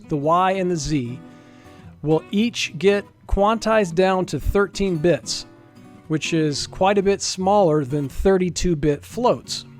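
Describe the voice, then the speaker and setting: Higher-voiced man, casual software tutorial. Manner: casual, explanatory, thinks aloud. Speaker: game developer presenting a software demo. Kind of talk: informal screen-recorded tutorial.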